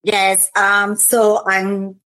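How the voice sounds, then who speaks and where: A woman's voice: mid-range voice, monotone, drawn-out words, a bit bright. A woman from the Dominican Republic, a nonprofit program officer, answering interview questions earnestly with many ums and uhs.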